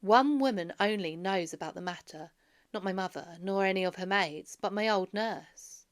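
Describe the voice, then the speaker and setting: A woman's voice: medium pitch, moderate pace, close to an everyday voice. A woman voicing a proud, defiant young prince who declaims earnestly in a storybook reading. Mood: urging.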